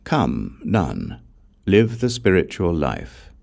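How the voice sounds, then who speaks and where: A calm Buddha with quiet authority, voiced by a male audiobook reader. He instructs gravely in a very deep, creaky voice, measured but with marked rises and falls in pitch. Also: full character voice. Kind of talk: audiobook scripture dialogue.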